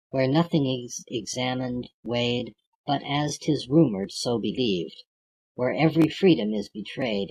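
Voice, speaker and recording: Slow, husky poetry reader of unclear gender. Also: medium pitch, a little mellow, rough recording. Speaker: audiobook reader. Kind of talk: reading classic poetry aloud.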